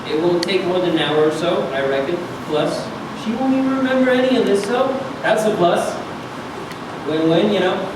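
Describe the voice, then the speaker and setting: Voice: mid-range voice, animated, moderate pace, close to an everyday voice. An actor playing a menacing, drunken vagrant in clown makeup in a staged reading, mock-friendly, taunting and domineering. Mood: sly menace. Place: live public reading in echoey room. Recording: echoey, distant, noisy room.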